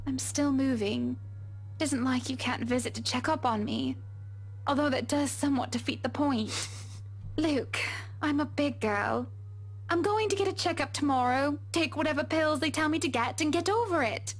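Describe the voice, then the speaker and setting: A woman playing a composed young wife in a visual novel game, reassuring and gently teasing. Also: sits high, normal speed. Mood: weary reassurance. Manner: calm, reassuring, dryly teasing.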